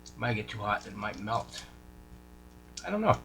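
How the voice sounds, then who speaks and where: A man's voice: on the low side, very animated, moderate pace. A man talking casually through a hands-on radio repair, informal and folksy, thinking aloud as he goes. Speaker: radio repair hobbyist showing a restoration. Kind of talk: casual hands-on workshop chat. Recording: clear background noise, electrical hum.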